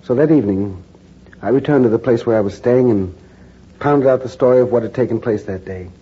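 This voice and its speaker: A man's voice: mid-range voice, fairly quick, husky, lightly acted. A man playing an earnest reporter. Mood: narrating. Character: earnest male reporter narrating in first person. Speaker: narrator. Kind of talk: 1940s radio drama narration. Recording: dull, hissy 1940s radio broadcast.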